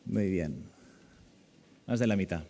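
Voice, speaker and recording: Male surgeon from Spain, conference speaker, lowish relaxed voice, lecture-hall echo. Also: slow. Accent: Spanish from Spain. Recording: echoey lecture hall, long reverb.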